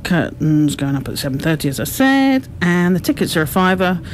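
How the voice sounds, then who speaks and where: A woman's voice: on the low side, very animated, brisk. A woman giving articulate, explanatory, prepared-sounding answers in a radio interview. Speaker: amateur drama group member being interviewed. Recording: noticeable background noise.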